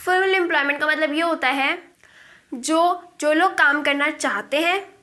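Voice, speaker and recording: A woman's voice: sits high, a little slow, sharp tone. A woman teaching an economics lesson, asking her own questions and answering them for her students. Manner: mixes Hindi and English, asks and answers her own questions. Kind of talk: classroom lesson explaining exam terms.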